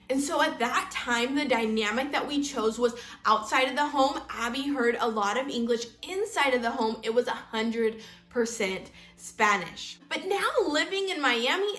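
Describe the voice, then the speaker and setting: A woman's voice: high-pitched, fairly bright tone, roomy sound. A woman in her thirties from Kansas, hosting a homeschool vlog and talking earnestly to camera. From Kansas, United States. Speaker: homeschool channel host. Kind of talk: casual vlog talk to camera.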